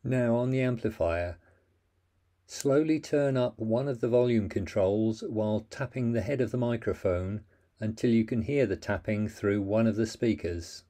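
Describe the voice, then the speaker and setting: A man's voice: on the low side, moderate pace, mellow. A man narrating a plain, methodical how-to voice-over, giving step-by-step instructions with the odd small slip.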